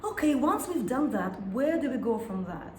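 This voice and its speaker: A woman's voice: sits high, very animated, normal speed. A woman from Russia with a Russian accent, a singer-songwriter talking in an interview, thoughtful and searching for words with frequent restarts. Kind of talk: interview conversation. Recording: echoey room with some noise.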